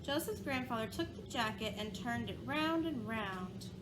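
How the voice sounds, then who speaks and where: A woman teacher reading a storybook aloud in a sing-song way, pausing to ask children questions. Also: on the high side, expressive, noisy background.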